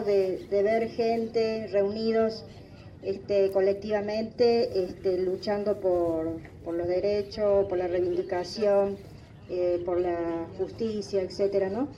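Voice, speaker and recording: A woman in her forties whose first language is Guarani addresses a gathering, hesitating and restarting often. Her voice is mellow, caught from some distance, with faint noise behind it. The recording sounds dull and lo-fi. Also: mid-range voice. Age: 40s. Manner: halting, many fillers and restarts. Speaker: guest speaker.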